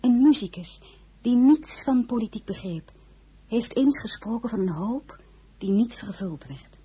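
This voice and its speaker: An actress playing a poised, idealistic crown princess in a historical drama, speaking in a measured, earnest way. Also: female voice, on the high side, expressive, warm tone, husky, full character voice. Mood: solemn, idealistic. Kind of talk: dramatised historical novel, radio drama acting.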